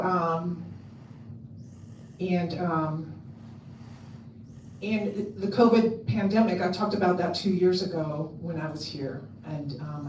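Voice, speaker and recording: A woman with a loud voice giving a casual talk to a convention audience, chatty and full of ums and restarts. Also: on the low side, a little hiss or hum. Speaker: convention speaker. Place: convention hall. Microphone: handheld mic on a stand. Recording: echoey hall, mic at a distance.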